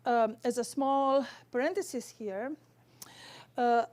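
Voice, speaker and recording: A woman with a Romanian accent giving an academic theology lecture in careful, halting, scholarly phrasing. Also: a little high, darkish tone.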